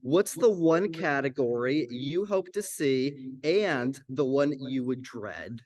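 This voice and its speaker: A man's voice: medium pitch, expressive, slow, a little mellow, no room sound. A young man, a student, putting a prepared question to a guest.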